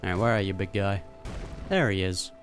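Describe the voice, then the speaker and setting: Game streamer, female, very deep voice, slangy off-the-cuff reactions, faint music behind. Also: moderate pace. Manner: slangy, informal asides. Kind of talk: casual reaction commentary over a game. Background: game music and dialogue.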